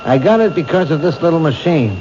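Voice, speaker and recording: An actor plays a commanding police captain in a vintage radio mystery, brisk and matter-of-fact. The sound is dull with steady hiss. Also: male voice, fairly high pitch, close to an everyday voice. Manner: brisk, orders given crisply. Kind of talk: 1970s radio drama acting.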